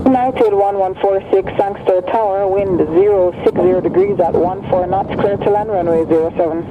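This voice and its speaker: Male tower controller, high-pitched, clipped phraseology, tinny radio with static. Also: fairly even intonation, moderate pace. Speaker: air traffic controller.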